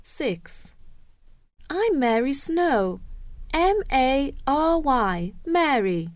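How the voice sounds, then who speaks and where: Female textbook reader, fairly high and lively voice, slow delivery. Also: dry recording. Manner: slow, careful, clearly enunciated. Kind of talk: scripted textbook listening exercise reading.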